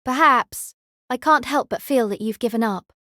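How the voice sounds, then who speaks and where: An emotionless young woman who speaks in a flat, level way, even while teasing, as a narrator puts her on. Her mid-pitched voice sounds dry and close to the mic. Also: moderate pace, dry recording, natural voice. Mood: cool. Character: calm, deadpan young woman student.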